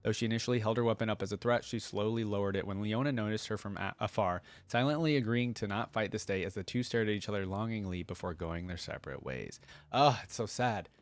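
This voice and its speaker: A man hosting a casual reaction video, reading text aloud and thinking out loud with offhand asides. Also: fairly low pitch, fast talker, no room sound.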